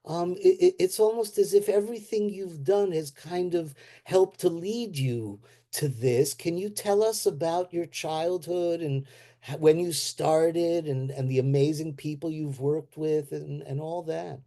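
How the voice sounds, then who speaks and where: A male host asks his guest a long, admiring, rambling question. His voice is very dark and mellow, and he talks at an unhurried pace. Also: fairly high pitch. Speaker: host and interviewer. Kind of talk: Q&A interview question.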